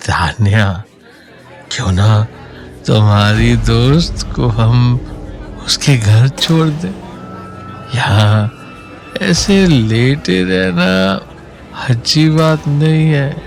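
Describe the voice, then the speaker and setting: An actor playing a smooth-talking, predatory young man in an audio story, a sly club villain. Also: medium pitch, animated, very slow, pauses often, faint music behind, exaggerated voice. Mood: falsely concerned. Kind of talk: Hindi audio story acting.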